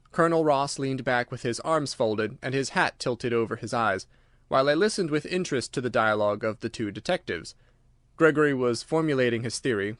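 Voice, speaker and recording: A man reading scripted audiobook narration in a measured, articulate, literary manner. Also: mid-range voice, no echo, studio quality.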